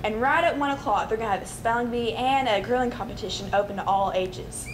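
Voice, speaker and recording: A woman's voice: a little high, fast, noisy background. A young woman reading fair event times in a conversational scripted skit.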